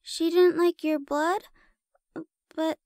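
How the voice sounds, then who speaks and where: A woman's voice: extremely high pitch, flat delivery, slow, a little mellow, dry recording, clean studio sound. A woman voicing a timid, naive young spider girl in an audio roleplay, stammering and flustered. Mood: nervous.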